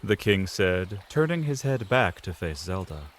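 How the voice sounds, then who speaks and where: A man's voice: low-pitched, sing-song, unhurried. A man narrating a scripted fantasy story as the narrator of an audio drama.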